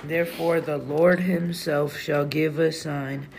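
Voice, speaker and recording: Casting: man for a family scripture reading, unhurried delivery, medium pitch, slight noise behind. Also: little pitch movement, low-quality recording. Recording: faint background noise.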